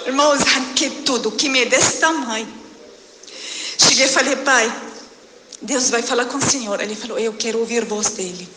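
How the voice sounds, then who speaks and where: A middle-aged woman from Syria, giving a fervent church testimony in halting phrasing and calling out to the congregation. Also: a little high, bright, forceful tone. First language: Arabic. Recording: echoey hall with background noise.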